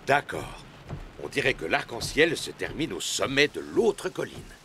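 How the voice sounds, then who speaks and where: A man's voice: medium pitch, big pitch swings, measured pace, heavy background noise. An actor voicing a jolly, cheerful father pig in a cartoon. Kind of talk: cartoon dubbing.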